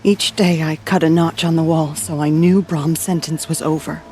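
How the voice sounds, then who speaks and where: A woman's voice: deep voice, steady tone, moderate pace, darkish tone, slight background noise, close to an everyday voice. An actress playing an anxious, grieving tribeswoman in a video game, hesitant and earnest as she pleads. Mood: sorrowful. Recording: music under the voice.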